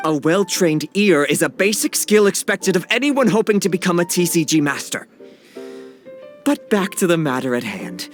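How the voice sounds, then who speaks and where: High-pitched, courteous card-game master. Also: male voice, big pitch swings, moderate pace. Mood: instructive, reassuring. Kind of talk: English game dub acting. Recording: studio recording over background music. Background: game background music.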